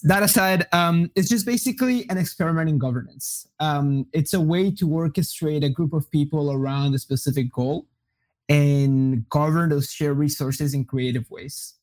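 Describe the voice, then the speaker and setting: A young man from Colombia, a native Spanish speaker, answering interview questions in a relaxed way with many fillers and restarts. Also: fairly high pitch, moderate pace. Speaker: guest. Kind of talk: casual interview.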